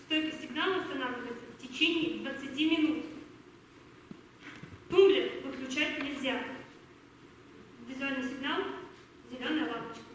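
A woman's voice: high-pitched, normal speed, long pauses, lightly acted. A woman playing a businesslike Soviet army radio specialist in an amateur war drama, speaking in a matter-of-fact, instructional way. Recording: distant, noisy, echoey theatre hall.

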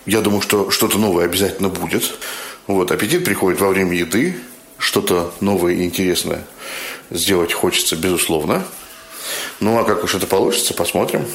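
A man's voice: sits low, fairly even intonation, fairly quick, fairly bright tone, a little hiss or hum. A man talking informally and reflectively as an amateur actor, in a roomy recording. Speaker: amateur actor and chat group administrator. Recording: echoey room.

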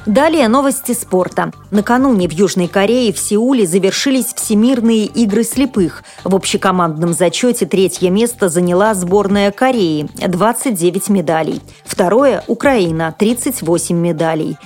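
A woman news presenter reading a scripted bulletin in a formal, measured way with careful enunciation. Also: medium pitch, a little mellow, faint music behind.

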